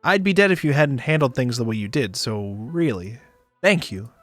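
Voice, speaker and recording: A man's voice: mid-range voice, expressive, a bit bright. Man playing an awkward, shaken male space passenger. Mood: awkwardly grateful. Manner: halting, self-conscious, dryly wry. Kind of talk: visual novel read aloud. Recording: dry close mic, background music.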